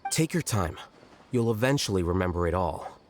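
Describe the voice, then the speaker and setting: Composed, aloof scholar with a low voice, calm and measured. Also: male voice. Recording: game audio with music behind. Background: game music.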